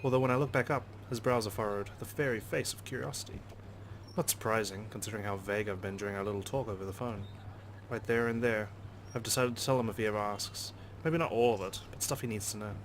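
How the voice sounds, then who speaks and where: A man reading visual novel narration aloud as a let's player, in an expressive storytelling style. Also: on the low side, fast talker, slight background noise.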